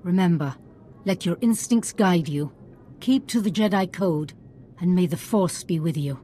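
A woman's voice: lowish voice, measured pace, warm tone, a little hiss or hum, close to an everyday voice. An actress playing a kindly, solemn female Jedi master recovering from illness in a video game. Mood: calm, earnest.

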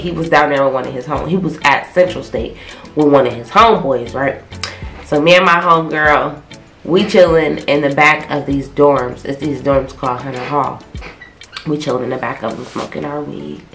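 Young woman, congested with a cold, low-pitched, casual slangy storyteller, roomy echo and faint music behind. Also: a bit bright. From Ohio, US. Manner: loose, slangy, talkative, gestures a lot. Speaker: vlogger. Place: small bedroom in front of a pink wall. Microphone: camera a few feet away.

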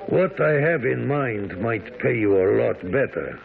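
An actor playing a sly, chummy villain who wheedles, in a radio drama. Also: male voice, medium pitch, big pitch swings, measured pace, creaky. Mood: wheedling, sly. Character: sly scheming British lowlife villain recruiting thugs. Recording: muffled narrow-band old radio broadcast, crowd behind.

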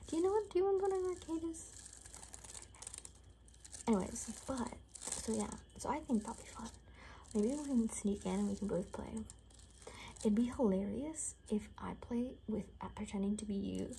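Young woman, ASMR roleplay, hushed and half-whispered, casual, mellow tone, slightly high pitch, some background noise. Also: creaky, rough recording. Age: young adult. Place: small furnished room indoors.

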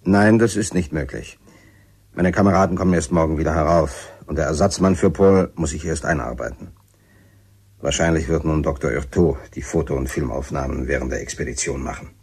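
A man's voice: a bass voice, brisk, a little mellow, slightly rough, full character voice. A man playing a resolute expedition leader in a radio play, firm and earnest. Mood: resolute, sombre. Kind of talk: radio drama acting.

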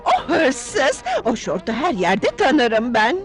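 A genteel cartoon society lady, excitable and dramatic. Her intonation swoops wildly at an unhurried pace, with faint music behind. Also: on the high side. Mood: alarmed. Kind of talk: dubbed cartoon acting.

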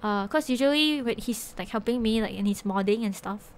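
A woman's voice: fairly high pitch, fairly quick. A young woman from Singapore with a Singaporean English accent, chatting casually with viewers on a live stream from her bedroom, giggling often and trailing off mid-sentence. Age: young adult. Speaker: Twitch streamer. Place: small bedroom, indoors. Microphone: desk microphone with headset.

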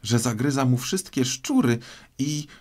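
A man voicing a polite, eager little girl in a storybook, chattering earnestly and quick to apologise. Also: fairly high pitch, sing-song, darkish tone, pitched above the performer's own voice, full character voice. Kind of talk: storybook character voice.

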